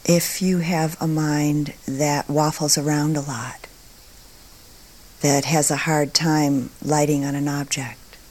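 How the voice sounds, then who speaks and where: A woman teaching meditation, speaking calmly and deliberately in short phrases with long pauses between them. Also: deep voice. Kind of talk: guided meditation talk.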